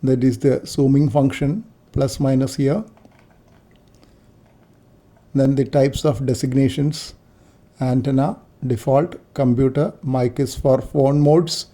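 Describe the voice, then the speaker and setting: A man narrating an unscripted screen-share tutorial, explaining things in short, halting, step-by-step phrases. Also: medium pitch, fairly even intonation, dark tone. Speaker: amateur radio operator giving a software demo.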